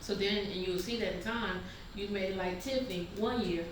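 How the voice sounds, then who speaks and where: A woman's voice: mid-range voice, moderate pace. A woman in a casual group discussion, explaining things plainly and instructively, as if to a child. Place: indoor room at a table read. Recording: very echoey room, distant mic, noisy background.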